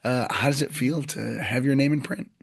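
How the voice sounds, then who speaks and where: A man hosts a podcast in a slightly scratchy, hoarse voice at a middling pitch. He talks casually and chattily with his guests. His recording is dry and close, with no room sound around it. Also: normal speed, no echo. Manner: chatty, joking, loose phrasing. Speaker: podcast host. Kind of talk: casual interview chat.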